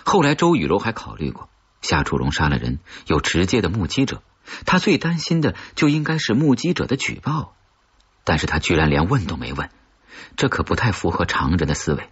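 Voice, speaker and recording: Male audiobook narrator, low-pitched, husky, dramatic suspense reading, muffled lo-fi audio. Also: big pitch swings, a little fast. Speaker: audiobook narrator of horror fiction.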